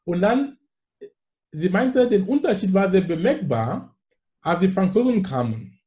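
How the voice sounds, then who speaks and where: A man's voice: high-pitched, lo-fi sound. A man in his forties from Cameroon with a Cameroonian accent, telling stories animatedly as a guest in a casual talk. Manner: animated storyteller, quick laughs. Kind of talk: casual conversation.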